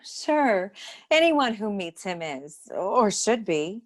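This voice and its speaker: An actress playing a brisk, know-it-all detective agency secretary in a noir comedy audio play, curt and dryly superior. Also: female voice, mid-range voice, very animated. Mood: breezy.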